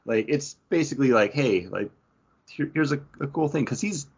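A man's voice: mid-range voice, expressive, moderate pace, slightly rough, dull audio. A man co-hosting a podcast, chatting casually about pop culture. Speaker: podcast co-host.

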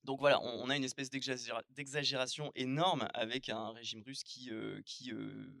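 A man on a discussion panel talks through his point, hedging and filling pauses. His voice is gravelly and recorded dry. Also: mid-range voice, steady tone, sharp tone, no room sound. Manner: hedging, many fillers. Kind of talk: round-table discussion.

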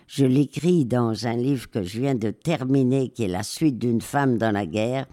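This is an older woman speaking at an unhurried, deliberate pace, her voice mellow and dark. She pauses often and fills the gaps with hesitations as she recounts her experiences in a reflective interview. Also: very deep voice. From raised in Spain. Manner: slow, hesitant, many pauses and fillers. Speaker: interview guest, war photographer.

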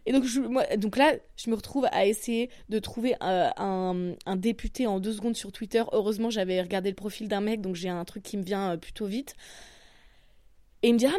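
A woman's voice: mid-range voice, dark tone, dry recording, studio quality. A woman with a Parisian accent, a comedian hosting her podcast in a crude, self-mocking, rambling monologue. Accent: Parisian French. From France. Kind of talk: comic monologue.

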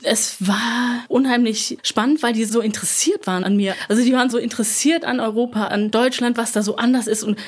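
Chatty woman with a high voice. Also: steady tone, a little fast, hardly any pauses. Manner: chatty, with frequent fillers and laughs. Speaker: interview guest. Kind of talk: casual conversational interview.

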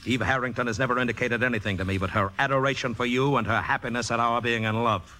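A man's voice: mid-range voice, steady tone, quick pace, sharp tone, faint music behind, lightly acted. An actor playing an exasperated, blunt boyfriend in his thirties, dry and wry, in 1950s radio drama style. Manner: dry, wry, articulate scolding.

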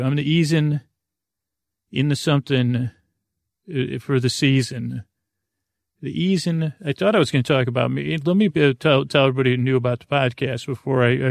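A man hosting a sleep podcast, rambling and getting sidetracked in a soft, creaky voice, mocking himself as he goes. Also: medium pitch, no room sound, studio quality. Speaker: podcast host. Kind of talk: rambling bedtime podcast talk.

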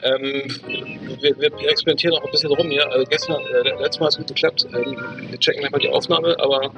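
Halting man podcasting over music. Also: a little high, steady tone, highs cut off. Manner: halting, repeats words, rambling. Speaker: podcast co-host. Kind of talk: casual chat.

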